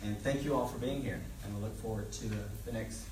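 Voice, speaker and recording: A middle-aged man introduces himself as a candidate at a public forum, plainly and informally, pausing with filler words. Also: lowish voice, steady tone, a little mellow. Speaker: political candidate. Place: public meeting hall, indoors. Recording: echoey room, distant, noisy.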